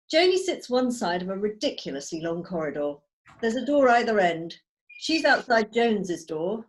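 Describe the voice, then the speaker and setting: A woman with a mid-pitched voice reads stage directions as narrator. It is scripted narration, recorded over a video call. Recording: video call table read.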